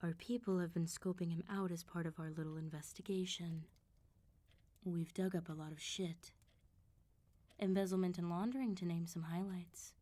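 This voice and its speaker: A woman voicing a suave, menacing female vampire mob boss in an ASMR roleplay, politely teasing and threatening with unhurried control. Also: on the low side, steady tone, mellow, natural voice. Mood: probing, controlled.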